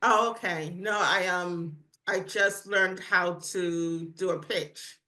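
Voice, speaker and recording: A woman's voice: fairly low pitch, steady tone, takes it slowly, sharp tone. A woman from New England introducing herself on a call, speaking hesitantly with many fillers. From New England, USA.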